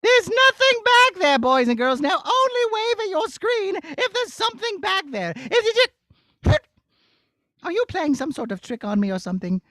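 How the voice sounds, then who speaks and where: A skittish princess from a children's puppet show, acted out by a puppeteer. The voice sits high and swoops about, exclaiming and addressing the young audience in a flustered way. The sound is dry. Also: big pitch swings, normal speed, bright, forceful tone, no echo, exaggerated voice. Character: excitable, skittish princess searching for a dragon.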